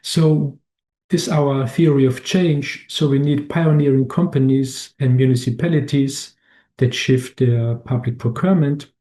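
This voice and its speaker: Austrian guy, presenting slides on a webinar, mellow voice, keeps restarting his sentences. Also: medium pitch, steady tone. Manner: halting, many restarts and fillers.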